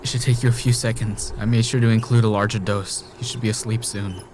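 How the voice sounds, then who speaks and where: Man acting as an obsessive killer, low-pitched, noisy backdrop. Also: flat delivery, quick pace, natural voice. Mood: calm. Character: obsessive, murderous man trapped in time loop. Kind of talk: audio drama acting. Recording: noisy background ambience.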